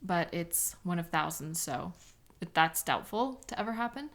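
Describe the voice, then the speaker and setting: A woman's voice: fairly low pitch, expressive, unhurried. A woman playing an embittered, contemptuous police sketch artist with a sarcastic, scornful manner in a dramatic audio roleplay. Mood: cold, bitter.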